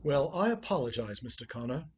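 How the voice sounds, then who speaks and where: A man's voice: mid-range voice, big pitch swings, brisk, lightly acted. A man playing a wry, urbane, unruffled ex-husband in a radio play, speaking with dry politeness. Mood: dry, amused. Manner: dry, polite, wryly amused.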